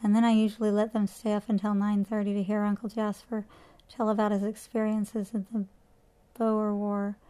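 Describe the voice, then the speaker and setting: A woman's voice: medium pitch, little pitch movement, normal speed, dark tone, clear, resonant tone, lightly acted, rough recording. A woman voicing a prim, talkative neighbor mother on the telephone.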